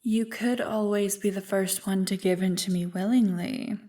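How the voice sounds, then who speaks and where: A woman voicing an ancient, evil primordial being in an ASMR roleplay, smug and tempting, with a deliberate, taunting delivery. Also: mid-range voice, steady tone, dark tone, recorded from a distance, lightly acted. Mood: indifferent, smug. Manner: slow, deliberate, taunting, coolly amused.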